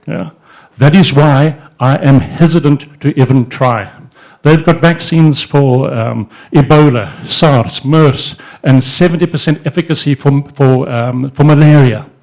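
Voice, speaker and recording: A man's voice: medium pitch, a little slow, a little mellow, poor audio. Older man rambling into a handheld mic. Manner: rambling, storytelling with frequent restarts and fillers; gestures with his free hand while he talks. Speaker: entrepreneur speaking at a society event. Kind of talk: informal speech to an audience. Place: indoor event room in front of a banner. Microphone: handheld microphone.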